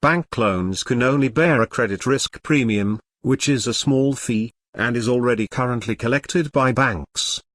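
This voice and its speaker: A man's voice: medium pitch, measured pace, few pauses, a bit bright, no room sound, clean studio sound. A man giving scripted explainer narration in an earnest, formal, teacherly manner.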